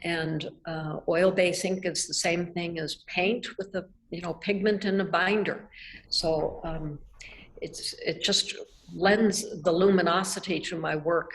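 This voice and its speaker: An older woman from Nebraska, answering questions in a reflective, measured way over a video call. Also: on the low side, fairly even intonation, low-quality recording. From Nebraska, United States. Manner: reflective, measured, with soft laughs. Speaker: artist guest. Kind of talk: thoughtful conversational answers. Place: art gallery, indoors. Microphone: video call webcam.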